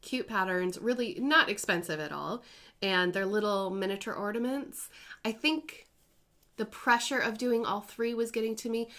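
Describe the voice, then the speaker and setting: A woman in her thirties chats at home about her stitching projects. She rambles and corrects herself as she goes, in an easygoing, conversational way. Her voice sits at a middling pitch. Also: moderate pace, sharp tone. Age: 30s. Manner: chatty and rambling, with self-corrections. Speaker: cross-stitch vlogger. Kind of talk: casual chatty crafting vlog.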